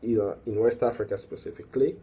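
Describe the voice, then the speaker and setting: A man's voice: lowish voice, fairly even intonation, quick pace, warm tone, husky. A young man from the Congo speaking English, talking alone to a camera in a hesitant way with many fillers that builds into emphatic warnings. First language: Swahili or Lingala. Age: young adult. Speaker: activist. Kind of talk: solo video monologue. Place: indoors at night, working.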